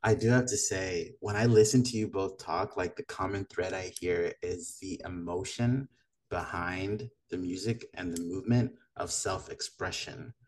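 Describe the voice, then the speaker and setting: A man's voice: low voice, normal speed, slight background noise, rough recording. A man from Madagascar hosting a podcast, chatting casually and laughing easily. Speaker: podcast host. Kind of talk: casual chat.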